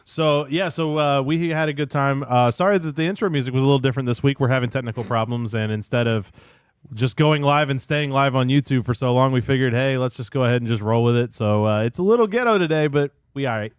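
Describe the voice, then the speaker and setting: A man's voice: medium pitch, fast, clean tone, no echo, clean studio sound. A man hosting a podcast, chatting casually with lots of fillers. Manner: relaxed, rambling with fillers. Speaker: podcast host. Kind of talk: casual chat.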